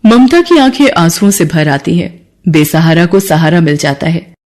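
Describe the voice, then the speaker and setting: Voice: medium pitch, animated, moderate pace, darkish tone. A narrator reading a scripted cartoon folk story.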